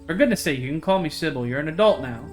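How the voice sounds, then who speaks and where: A man's voice: fairly high pitch, animated, fast talker, natural voice. A man voicing a kindly older small-town woman, gracious, chatty and folksy, in a visual novel. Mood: gracious, welcoming. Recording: game music behind the voice.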